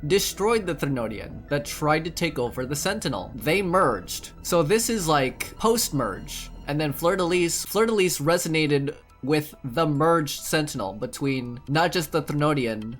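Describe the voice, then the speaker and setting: A man's voice: on the high side, expressive, unhurried, hardly any pauses, fairly bright tone. A young man doing casual playthrough commentary, thinking aloud in informal, slangy speech. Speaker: gaming YouTuber playing and commenting. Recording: commentary over game music.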